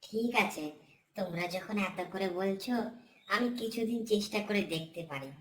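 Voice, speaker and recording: A woman's voice: medium pitch, moderate pace, fairly bright tone, a little hiss or hum, natural voice, low-quality recording. A woman voicing an earnest, polite, independent-minded young son in a village cartoon. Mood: reluctant, earnest. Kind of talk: cartoon voice acting.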